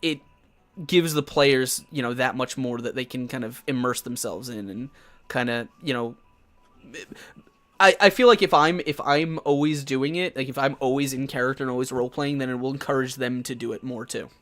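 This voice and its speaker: A man's voice: mid-range voice, normal speed, fairly bright tone, soft background music. A young man hosting a casual live-streamed talk show about tabletop games, talking loosely and swearing freely.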